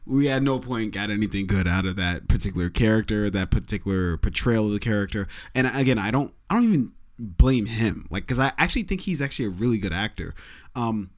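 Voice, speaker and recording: A man with a fairly low voice talks through a film review in a loose, casual way. He stops and restarts often, stacking up fillers as he hunts for words. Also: lively intonation. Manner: halting, many restarts and fillers. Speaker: podcast co-host.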